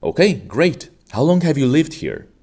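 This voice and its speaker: Lively-voiced male podcast host. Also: fairly high pitch, moderate pace, slightly rough. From Romania. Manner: articulate, formal teacherly delivery. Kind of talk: scripted exam-prep podcast hosting.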